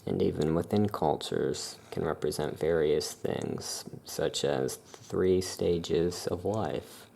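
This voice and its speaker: Soft-spoken ASMR shopkeeper, unhurried and calm, with a mellow, gravelly tone. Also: low voice. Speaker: witch shop keeper presenting amulets.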